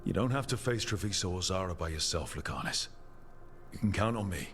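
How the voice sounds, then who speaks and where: A man acting a steady, supportive, dryly witty hero in a fantasy video game. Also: low-pitched, a little fast, a little hiss or hum. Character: steady, supportive male hero with dry wit.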